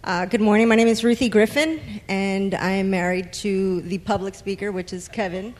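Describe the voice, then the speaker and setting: A woman from South Jersey introduces herself on a church panel in a friendly, smiling way. Her voice is mid-pitched and carries a long hall reverb. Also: steady tone, normal speed, darkish tone. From South Jersey, USA. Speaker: panelist, pastor's wife.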